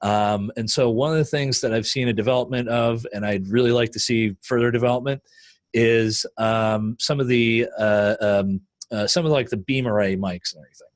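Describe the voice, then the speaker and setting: A man's voice: medium pitch, fairly even intonation, moderate pace, dry recording. Chatty man hosting a dry-sounding podcast. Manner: chatty, rambling with many fillers. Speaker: podcast host. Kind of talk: casual panel chat.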